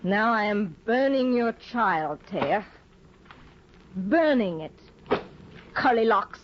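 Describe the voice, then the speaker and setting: Bitter, imperious young wife. Lively pitch, lo-fi 1950s radio drama sound. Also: female voice, slow. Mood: bitter, brooding. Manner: icy, bitter, commanding. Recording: dull lo-fi 1950 radio broadcast with faint hiss.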